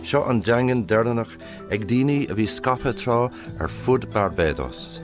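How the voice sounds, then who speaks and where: A man with an Irish accent reading scripted documentary narration in a measured, solemn way. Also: mid-range voice, lively intonation. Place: voice-over studio. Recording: voice-over with loud music bed.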